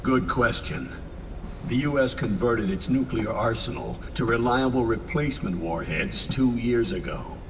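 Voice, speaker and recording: A man playing a grave older commander in a video game, solemn, measured and authoritative. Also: mid-range voice, close to an everyday voice. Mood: grave, explanatory. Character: grave older male commander briefing his operatives. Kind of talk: dramatic video game acting. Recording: distant, reverberant, noisy vehicle ambience.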